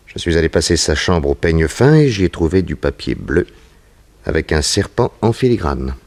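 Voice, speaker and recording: Casting: calm private detective, low register with animated melody, reassuring, 1960s radio play. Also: male voice, normal speed, vocal fry, exaggerated voice. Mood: explaining. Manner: composed, reassuring, probing with short questions. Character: calm, self-assured male private detective. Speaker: actor playing a detective. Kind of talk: 1960s French radio drama acting.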